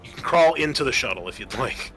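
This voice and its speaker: Male game master, bright forward voice, improvised rulings and scene narration over music. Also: mid-range voice, expressive, fast.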